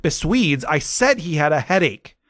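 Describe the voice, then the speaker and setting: A man's voice: on the high side, very animated, moderate pace, fairly bright tone, no echo, studio quality, pitched above the performer's own voice. A man voicing an indignant teenage girl author snapping defensively at critics in a whiny way.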